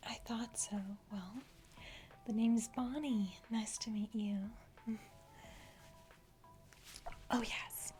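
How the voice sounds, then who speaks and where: A woman's voice: fairly high pitch, fairly even intonation, slow pace, darkish tone, faint music behind. A woman playing a self-important young criminal henchwoman in an ASMR roleplay, whispering breathily and hesitantly close to the microphone. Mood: boastful, coy. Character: boastful, eager young female criminal henchwoman.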